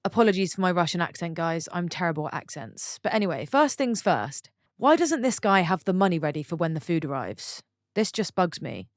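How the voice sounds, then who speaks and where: A woman with a low, lively voice narrates stories for her channel. She breaks off for chatty, expressive asides to her viewers. The sound is close and dry. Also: brisk, no echo. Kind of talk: reading online stories aloud with own comments.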